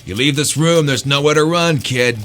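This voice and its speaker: A man's voice: on the high side, normal speed, background noise, lightly acted. An actor playing a knowing older male casino worker who gives taunting warnings in an audio drama. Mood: wry, warning. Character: knowing, ominous older male casino counting-room man.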